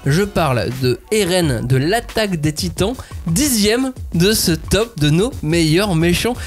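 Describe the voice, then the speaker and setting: High-voiced energetic male podcast host. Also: a little slow, faint music behind. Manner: energetic, announcer-like intros. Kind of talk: lively panel debate hosting.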